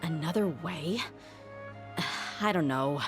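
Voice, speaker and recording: A woman's voice: deep voice, takes it slowly. An actress playing a tough, blunt young warrior woman with a wounded, guarded manner in a video game. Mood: frustrated, bitter. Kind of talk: video game story dialogue. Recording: music behind the voice.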